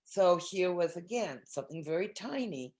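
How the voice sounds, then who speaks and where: A woman's voice: fairly low pitch, lively intonation, normal speed, darkish tone. A woman taking part in a casual, reflective group discussion, thinking aloud as she talks. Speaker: discussion participant.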